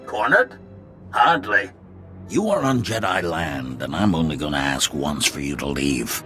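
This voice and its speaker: A man's voice: very deep voice, expressive, measured pace, fairly bright tone, faint music behind, exaggerated voice. A man playing a zealous, defiant Jedi master in a video game, making solemn, righteous declarations. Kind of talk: dramatic video game acting.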